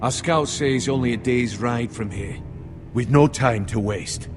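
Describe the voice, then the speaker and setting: A man's voice: mid-range voice, measured pace, music in the background, close to an everyday voice. A man playing a pragmatic, cautious counsellor loyal to his lord in a video game drama, firm and formal. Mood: measured, loyal.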